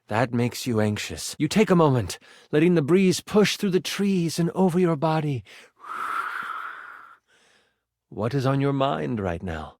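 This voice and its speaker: A man playing a living twenty-sided die as an excitable, theatrical game master narrating a fantasy tabletop adventure in a video game. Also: on the high side, no echo, clean studio sound. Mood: hushed, atmospheric. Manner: dramatic, expressive, playful. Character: excitable male D20 die personified as dramatic game master.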